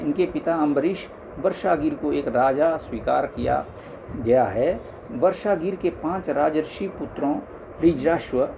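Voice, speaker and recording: A man's voice: on the high side, noisy background. A man formally reading scholarly religious text aloud, now and then stumbling, in an amateur recording. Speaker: reader of a scripture audiobook.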